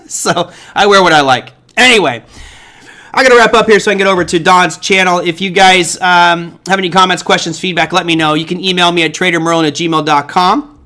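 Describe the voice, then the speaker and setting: A middle-aged man hosts a live stream and chats loosely with his viewers, joking and wandering off topic with plenty of ums and uhs. His voice is bright and forceful and sits a little high for a man. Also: a little fast. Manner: chatty, jokey, rambling with many fillers. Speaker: host of a trading livestream. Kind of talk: casual livestream chat answering viewers.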